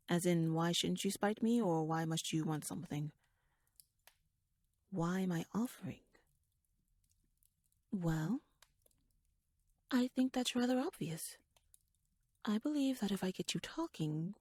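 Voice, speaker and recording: A woman's voice: on the low side, darkish tone, breathy edge, dry recording. A woman playing a shrewd, talkative royal schemer in an ASMR audio roleplay, speaking in wordy, formal, playfully wry musings addressed to the listener. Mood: wry, persuasive.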